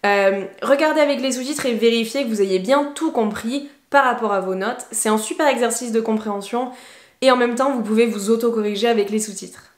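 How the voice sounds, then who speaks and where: A woman's voice: fairly high pitch, brisk. Chatty woman, echoey room. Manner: chatty, encouraging, many fillers. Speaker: English teacher. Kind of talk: casual tips to camera.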